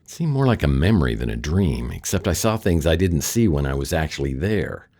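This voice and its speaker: Very deep-voiced, deadpan American noir detective. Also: male voice, a little fast, heavily acted. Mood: wry, unsettled. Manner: dry, sardonic, deadpan. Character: wry, world-weary male private detective. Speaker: narrator and lead actor. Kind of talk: noir radio drama, first-person narration and dialogue.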